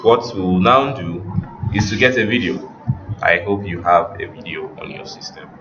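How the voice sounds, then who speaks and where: A man giving an informal software tutorial, thinking aloud in a halting way as he works. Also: low-pitched. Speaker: web design instructor. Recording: muffled, roomy, noisy home recording.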